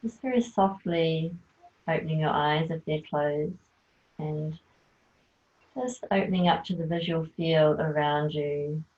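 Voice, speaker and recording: A woman leading a guided yoga nidra relaxation, speaking softly and soothingly as she gives gentle instructions. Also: low voice. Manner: slow, soft, soothing instruction. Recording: tinny phone-like sound.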